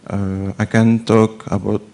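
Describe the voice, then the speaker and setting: A man in his thirties from France with a French accent, haltingly asking a question at a talk, with many pauses and restarts. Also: fairly low pitch, little pitch movement, gravelly, darkish tone. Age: 30s. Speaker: audience member asking a question. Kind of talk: hesitant spoken question in a second language.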